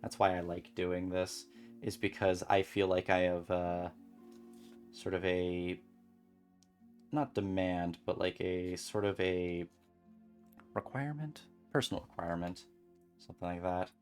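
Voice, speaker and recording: A streamer chatting casually and calmly while beading at a home desk, rambling gently with many fillers. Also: sits low, unhurried, long pauses, soft background music. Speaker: crafting streamer.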